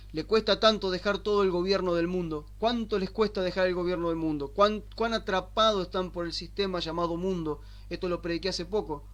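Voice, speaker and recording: An Argentine man preaching a sermon, asking rhetorical questions and slowing down to stress the scripture he quotes. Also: sits high. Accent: Argentine Spanish. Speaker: pastor. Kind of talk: sermon teaching.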